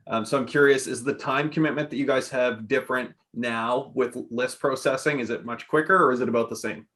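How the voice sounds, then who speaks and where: Male interviewer, mid-pitched, upbeat and brief, with a relaxed conversational delivery. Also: a little fast. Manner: friendly, brief affirmations. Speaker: interviewer from the software company. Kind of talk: casual interview questions.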